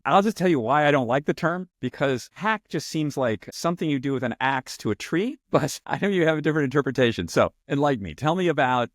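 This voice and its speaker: A man speaking as a podcast host, in interviews and sponsor reads. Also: medium pitch.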